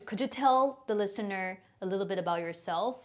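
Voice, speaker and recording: Female podcast host, mid-pitched, eager and chatty. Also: a little fast. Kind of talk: casual interview hosting.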